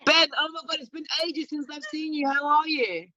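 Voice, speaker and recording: A woman's voice: sits high, normal speed, pushed and bright, no room sound. A woman hosting a comedy show, teasing and swearing, calling everyone babe. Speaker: host. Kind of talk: casual banter hosting. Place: home, on a video call. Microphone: computer mic on a video call. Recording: dull, dry video-call audio.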